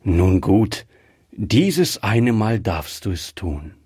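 Casting: elderly storybook shopkeeper played by a man, husky, slow-paced, guilt-ridden but kind, hesitant. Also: medium pitch, big pitch swings, a little mellow, dry recording, clean studio sound, heavily acted. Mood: kindly, cautious. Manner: measured, hesitant, muttering. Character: grey-headed old male shopkeeper, cautious, guilt-ridden, gruffly kind. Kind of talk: acted storybook dialogue.

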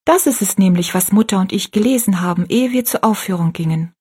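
A woman's voice: mid-range voice, fairly quick, warm tone, breathy edge, lightly acted. A woman voicing an eager, sweet young girl who talks earnestly and pleadingly.

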